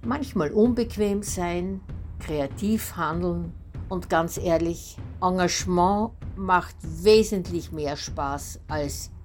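A woman's voice: on the low side, takes it slowly, a little mellow, faint music behind. A woman of sixty-eight giving a prepared personal testimonial. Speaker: member of senior activist group.

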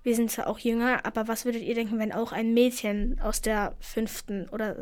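A woman's voice: on the high side, little pitch movement, fairly quick. A teenage girl from Afghanistan, co-hosting a casual chat and telling stories in an earnest, indignant way. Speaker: co-host.

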